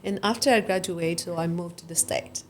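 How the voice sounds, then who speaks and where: A woman from the Dominican Republic, a guest life coach, telling her story warmly and laughing between lines. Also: on the low side, normal speed, slight background noise. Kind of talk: interview storytelling.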